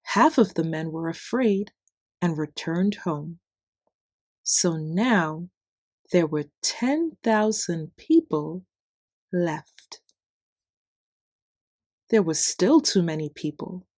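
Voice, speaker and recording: Slow, expressive woman storyteller. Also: on the low side, no room sound, studio quality. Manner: deliberate, simple phrasing with expressive emphasis for children. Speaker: children's Bible story narrator. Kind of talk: scripted read-aloud bedtime story narration.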